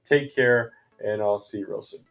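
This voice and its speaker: A man hosting a casual comic book review vlog, rambling with many ums and uhs, his voice going hoarse and his mouth dry. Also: on the low side, moderate pace, soft background music. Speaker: comic book channel host.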